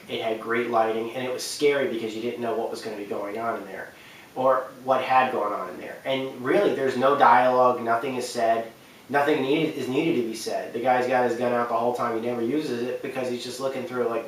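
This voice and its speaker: A man's voice: mid-range voice, fairly bright tone, a little hiss or hum. A man hosting a video game countdown with casual, unscripted commentary, stressing and repeating words for emphasis. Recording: very roomy echo, distant from mic.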